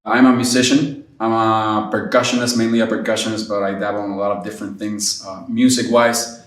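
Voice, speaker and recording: A man's voice: on the low side, a little fast. A man from Puerto Rico, a percussionist, talking casually and hesitantly about his work and family. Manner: relaxed, hesitant, many fillers. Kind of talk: casual interview answers. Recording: echoey room, distant mic.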